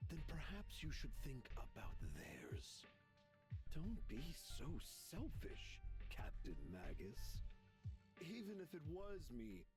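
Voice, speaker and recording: Pompous, swooping military general. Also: male voice, medium pitch. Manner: pompous, condescending, theatrical. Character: arrogant, scheming middle-aged male military general, contemptuous and self-justifying. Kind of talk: dramatic video game voice acting. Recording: game music behind the voice.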